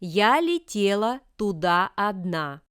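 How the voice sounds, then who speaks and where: A woman reading scripted example sentences for language learners with careful, deliberate enunciation. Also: mid-range voice, very animated, a bit bright, smooth, dry recording, clean studio sound. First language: Russian. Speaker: language lesson reader.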